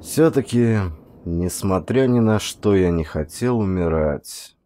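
A man with a very low voice reads a dark story aloud for an audiobook. His delivery is dramatic and expressive, and he lifts his voice for the lines of dialogue. He is from Russia and records close to a studio microphone. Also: takes it slowly. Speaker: audiobook narrator.

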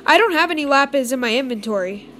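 Chatty young female gamer, high voice. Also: expressive, fast, sharp tone, slight background noise. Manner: chatty, upbeat, meandering recap. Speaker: gaming channel host. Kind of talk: casual gameplay commentary.

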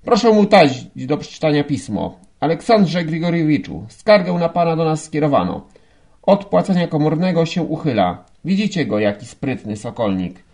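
A man voicing a furious, pompous police lieutenant in an audiobook, scolding and indignant in a loud shout. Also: on the high side, sing-song, normal speed, pitched above the performer's own voice. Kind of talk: audiobook character acting.

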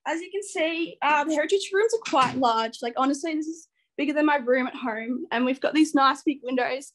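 A woman's voice: sits high, rough recording. A young Australian woman giving a casual, chatty tour as a student guide. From Ballarat, rural Victoria, Australia. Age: young adult. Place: small dorm room and corridor. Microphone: phone at arm's length.

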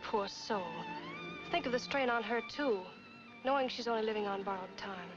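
An actress in her twenties playing a sympathetic, flattering glamorous model in a 1940s film noir. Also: a little high, normal speed, natural voice. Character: sympathetic, flattering young glamorous model courting a married man. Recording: dull 1940s film soundtrack, music behind.

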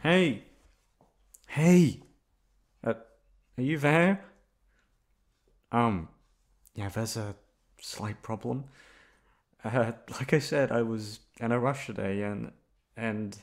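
A young man acting a shy, flustered, sweet-natured male classmate in an ASMR roleplay, speaking in a halting, stammering way. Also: mid-range voice. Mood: flustered, awkward. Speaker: voice actor. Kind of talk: ASMR roleplay acting, one-sided dialogue.

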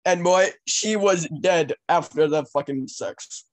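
A man's voice: fairly high pitch, slow pace. A teenage male talking casually and crudely while making prank calls from a small room at home. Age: teens. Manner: crude, foul-mouthed. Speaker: prank caller. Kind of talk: casual prank call banter.

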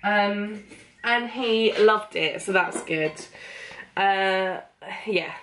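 A woman's voice: mid-range voice, drawn-out words, fairly bright tone, a little hiss or hum, poor audio. A young woman, chatting casually to camera at home, rambling with lots of ums and likes, still a bit sniffly. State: a bit sniffly after losing her voice. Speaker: vlogger. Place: bedroom and small kitchen at home. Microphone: camera held close at arm's length.

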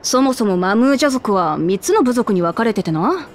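A woman's voice: fairly high pitch, sing-song, brisk, a little hiss or hum. A woman voicing a brash, hearty young lioness warrior in a Japanese video game, speaking bluntly like a tomboy. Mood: matter-of-fact explaining. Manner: blunt, rough tomboyish speech.

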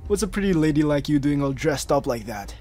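Guy doing a smarmy pushy creep for an anime roleplay, husky and really swingy voice. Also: on the high side, expressive, fairly quick, a little mellow, no echo, studio quality, faint music behind. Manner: smarmy, insinuating. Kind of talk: amateur anime roleplay acting.

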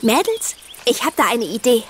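A woman's voice: fairly high pitch, sing-song, normal speed, background noise. A woman playing a cheerful horse-loving teenage girl in a children's audio drama. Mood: cheerful, eager.

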